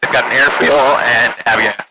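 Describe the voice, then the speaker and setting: A man's voice: mid-range voice, fairly even intonation, normal speed, creaky. A man riding as a passenger in a small plane, chatting casually over a headset intercom. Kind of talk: casual chat. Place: small propeller plane cockpit in flight. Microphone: aviation headset through intercom. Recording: engine noise, aviation headset intercom.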